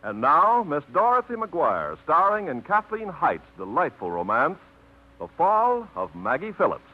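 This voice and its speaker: A male announcer giving a smooth, ceremonious introduction to a radio drama. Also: a little high, huge pitch swings, unhurried, a little hiss or hum. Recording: dull old radio broadcast with music.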